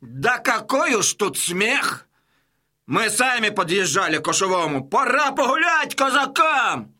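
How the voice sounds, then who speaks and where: Shrill, jeering Cossack. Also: male voice, sing-song, a little slow, bright, forceful tone, full character voice. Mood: rowdy, frustrated. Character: jeering, restless, rowdy Cossack comrade. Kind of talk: audio drama acting.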